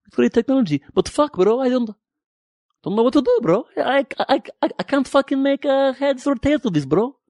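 A man's voice: very high voice, lively intonation, moderate pace, a little mellow, no echo, pitched above the performer's own voice. A man putting on the voice of a flustered scientist who keeps saying "bro" and rambles helplessly. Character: flustered male scientist, casual and overwhelmed.

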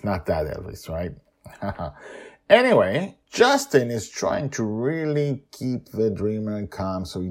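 A man hosting a storytelling podcast, narrating with animated, chatty asides. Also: sits low, breathy edge.

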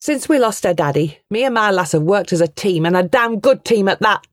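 A woman voicing a proud, sharp-tongued farm mother in her thirties in a storybook. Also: medium pitch, animated, a little fast, no echo, clean studio sound, pitched above the performer's own voice, lightly acted. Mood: proud, indignant.

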